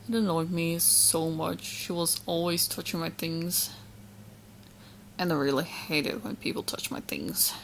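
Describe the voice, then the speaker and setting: A woman playing a sweet, obsessed young witch girlfriend in an ASMR roleplay, teasing and smug with coy, halting asides. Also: lowish voice, a little hiss or hum, poor audio.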